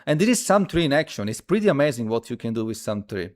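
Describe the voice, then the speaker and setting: A man who speaks as a hosts a casual live coding stream, thinking aloud haltingly and repeating his phrases as he demonstrates. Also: mid-range voice, very animated. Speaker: livestream host.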